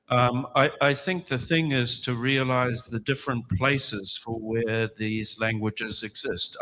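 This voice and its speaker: A man with a bright, forceful voice answers questions at a book launch. He explains with wry asides and everyday examples, speaking into a handheld mic. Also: medium pitch, a little hiss or hum, poor audio. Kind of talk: relaxed, joking Q&A answers. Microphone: handheld microphone.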